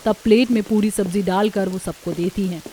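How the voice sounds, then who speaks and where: A woman's voice: medium pitch, fairly even intonation, a little fast, warm tone. A woman reading scripted narration for a cartoon story as its storyteller.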